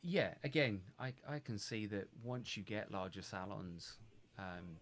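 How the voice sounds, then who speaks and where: A man with a fairly low voice hosts a relaxed live chat over a video call. He talks in loose, trailing sentences and laughs easily. A faint noise runs under the recording. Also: unhurried. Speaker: host of a live hairdressing stream. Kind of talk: casual live interview chat.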